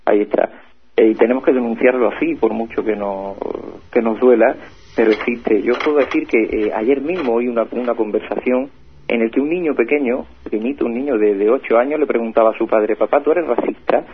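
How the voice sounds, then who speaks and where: A man's voice: mid-range voice, a little fast, a little hiss or hum. A man with a Spanish accent from Spain, a guest speaking earnestly and hesitantly, often repeating words. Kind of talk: earnest commentary. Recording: husky voice over phone line.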